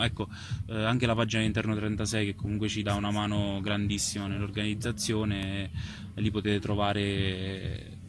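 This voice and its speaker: A man gives spontaneous, explanatory interview answers, pausing and hesitating as he goes. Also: on the low side. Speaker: photographer and exhibition curator being interviewed. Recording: clear ambient noise behind the voice.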